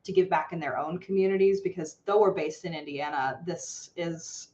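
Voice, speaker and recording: A woman's voice: on the low side, moderate pace, a little mellow, lo-fi sound. A woman hosting an interview podcast, upbeat and enthusiastic with her guests. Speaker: podcast host. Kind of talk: casual interview.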